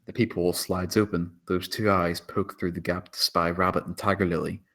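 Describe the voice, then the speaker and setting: Deep voiced guy reading the action lines of a film script, narrator style. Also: little pitch movement, fairly quick, a bit bright. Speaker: stage directions reader.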